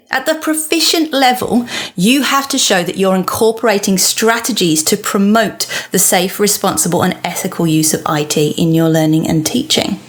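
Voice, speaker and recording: A middle-aged woman talks through her solo podcast as a teacher would, friendly and explaining step by step. She often asks rhetorical questions. Her voice sounds a little bunged up from a cold. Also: mid-range voice. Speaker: podcast host, teacher and lecturer. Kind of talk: solo educational podcast talk.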